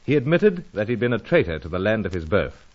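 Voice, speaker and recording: An actor playing a gallant British secret agent, hero and narrator of an adventure radio serial, with clipped, old-fashioned, gentlemanly delivery. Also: male voice, lowish voice, very animated, fast talker. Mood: dramatic, measured. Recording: dull lo-fi radio serial audio.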